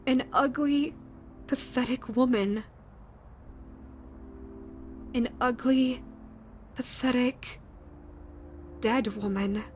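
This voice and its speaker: A woman voicing a scarred, grieving female yokai ghost who hates herself, talking to the listener in a halting, stammering way. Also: fairly high pitch, faint music behind. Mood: bitter despair. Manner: halting, stammering, repeats words. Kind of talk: audio roleplay to the listener.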